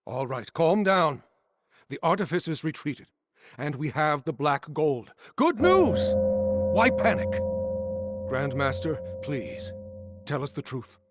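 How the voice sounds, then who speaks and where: An actor playing a pleading, reasonable sect member in a video game, earnest and imploring. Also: male voice, a little high, big pitch swings, slow pace, pauses often, exaggerated voice. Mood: placating. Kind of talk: dramatic video game acting.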